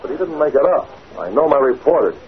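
An actor playing a forceful, confident newspaper publisher with a challenging, sardonic manner in a 1940s radio drama. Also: male voice, on the high side, very animated, fast. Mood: combative. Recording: noisy, narrow-band 1940s radio recording.

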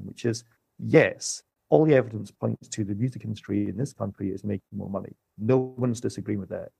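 Gravelly, husky man explaining. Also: lowish voice, darkish tone, dry recording. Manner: measured, explanatory, emphatic. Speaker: music economist guest. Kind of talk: podcast discussion.

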